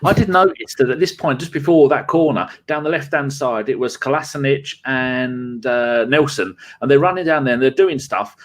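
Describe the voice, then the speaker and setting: A man's voice: medium pitch, moderate pace, hardly any pauses. A man co-hosting a football podcast, rambling through his notes with a jokey, teasing manner. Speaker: podcast co-host. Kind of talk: casual football chat.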